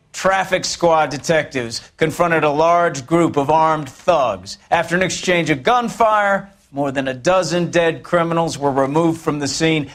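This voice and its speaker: An actor playing a brusque middle-aged American police captain in a video game, gruff and offhand. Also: male voice, on the high side, a bit bright. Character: brusque, satisfied police captain praising his detectives.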